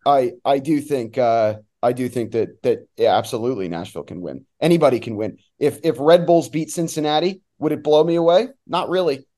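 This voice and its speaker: A man's voice: mid-range voice, a little fast, hardly any pauses, mellow. A man giving casual, opinionated sports analysis as an interview guest, in an informal conversational way. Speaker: guest, soccer play-by-play announcer.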